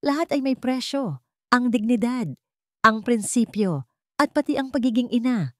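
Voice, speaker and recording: A woman's voice: fairly high pitch, lively intonation, normal speed, fairly bright tone, no room sound, pitched above the performer's own voice. A woman voicing a cold, contemptuous rich old man in a radio drama, with a dry, commanding, sarcastic delivery. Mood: cold contempt. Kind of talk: Tagalog radio drama storytelling.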